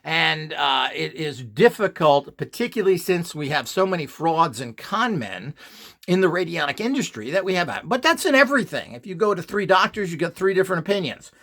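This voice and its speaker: Blunt, higher-pitched mature man in opinionated monologue. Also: very animated, a little fast, a bit bright. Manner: blunt, scornful, emphatic. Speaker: presenter of instructional videos.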